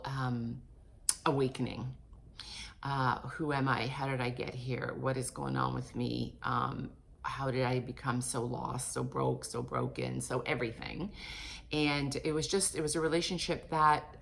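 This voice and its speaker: A woman in her late fifties with a low-pitched voice speaks directly to her viewers. Her delivery is casual and candid, like a personal vlog. She rambles conversationally, often correcting herself mid-thought. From Portugal, emigrated with parents. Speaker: vlogger and author.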